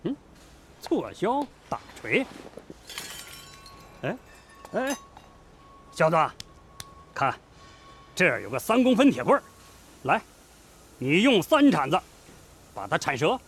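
A man's voice: sits high, wildly swooping pitch, slow, long pauses, a little hiss or hum, exaggerated voice. An actor playing a brash, teasing, boisterous young male factory worker in a radio drama.

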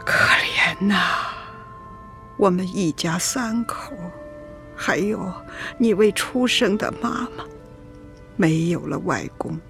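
A woman's voice: fairly low pitch, vocal fry, a bit bright, faint music behind, exaggerated voice. An actress playing an elderly grandmother in a radio drama, sorrowful and regretful, telling the past wistfully. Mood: grieving. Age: older. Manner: slow, wistful, tearful storytelling. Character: sorrowful, regretful old grandmother recalling her lost husband.

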